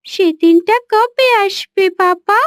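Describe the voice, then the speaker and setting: Casting: woman to play kind-hearted cartoon daughter, extremely high voice, earnest and caring. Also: slow, no echo, clean studio sound. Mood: hurt. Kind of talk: cartoon voice acting.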